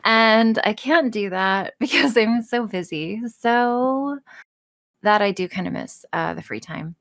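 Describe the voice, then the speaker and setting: A woman's voice: mid-range voice, takes it slowly, fairly bright tone. A woman voice actress chatting casually and candidly as an interview guest, frank, self-deprecating and free with swearing. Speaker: voice actress and audio creator being interviewed.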